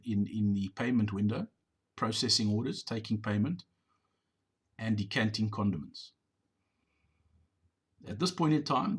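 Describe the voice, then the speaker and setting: A middle-aged business owner giving a measured, explanatory voice-over on drive-thru footage, sometimes repeating words. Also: male voice, lowish voice, breathy edge.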